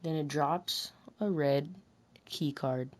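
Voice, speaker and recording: A woman with a slightly low voice narrates video game commentary. She reads it as scripted voice-over, deliberate in her delivery, and tends to restart and reword her sentences. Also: darkish tone. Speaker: narrator recording voice lines about a video game.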